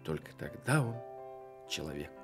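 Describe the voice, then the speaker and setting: A man's voice: extremely low pitch, sing-song, music in the background, full character voice. An actor playing a sentimental, courteous iron woodman in a radio play, speaking earnestly and dramatically. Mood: sorrowful. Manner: earnest, dramatic, drawn-out delivery. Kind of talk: theatrical Soviet radio play acting.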